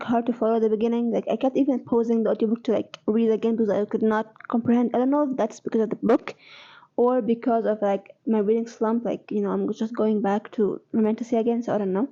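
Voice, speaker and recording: Chatty woman, soft higher voice. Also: muffled recording. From Egypt. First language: Arabic. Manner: rambling, chatty, self-correcting. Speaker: book vlogger. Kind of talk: casual reading vlog chat.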